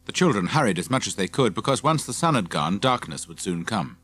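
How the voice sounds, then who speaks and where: A man's voice: on the low side, sing-song, fairly quick, fairly bright tone. A man reading scripted children's story narration as an audiobook narrator.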